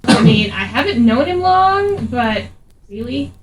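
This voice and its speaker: A woman's voice: fairly high pitch, very animated, a little slow. A woman playing a cheeky, chatty adventurer in an improvised tabletop game, with a wry, teasing way of talking. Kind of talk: improvised tabletop roleplay in character. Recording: roomy, distant mic, faint noise.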